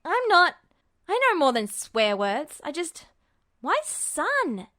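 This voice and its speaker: A woman's voice: high voice, wildly swooping pitch, takes it slowly, bright, forceful tone, pitched above the performer's own voice, full character voice. Lady doing a defensive young lad, mouthy and blunt, casual slangy talk, story reading. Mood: indignant. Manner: blunt, informal, sweary. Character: brash, defensive, foul-mouthed young man.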